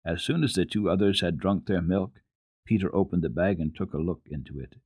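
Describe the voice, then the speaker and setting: A man with a fairly low voice narrates a children's classic in the manner of a radio storyteller. His delivery is measured and expressive, and the sound is close and dry, with very little room. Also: breathy edge, dry recording. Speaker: storyteller, radio program host. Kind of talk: dramatic reading of a children's book.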